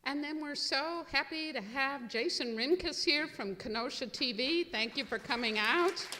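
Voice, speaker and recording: A woman's voice: sits high, normal speed, sharp tone. A woman presenting a live show, giving a welcome announcement to the audience from the stage. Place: museum event room before an audience. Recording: reverberant hall sound.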